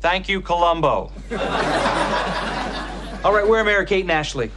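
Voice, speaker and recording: A man's voice: high-pitched, expressive, moderate pace. A man playing a wry, protective suburban father in a sitcom. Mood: stern, sarcastic. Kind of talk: sitcom acting before an audience. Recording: loud music and audience behind dialogue.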